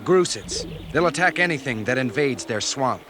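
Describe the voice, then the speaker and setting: Man playing a resolute rebel fighter, lively delivery. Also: medium pitch, close to an everyday voice. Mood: cool. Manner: earnest, brisk, declarative. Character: resolute male rebel swamp fighter, loyal guide. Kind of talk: 1970s space-opera audio drama acting. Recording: noisy outdoor sound effects behind.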